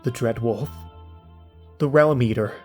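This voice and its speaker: A man's voice: medium pitch, a little slow, lightly acted. A man playing a frightened, superstitious young Viking explorer in an audio story, muttering nervously. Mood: dread. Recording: music behind the voice.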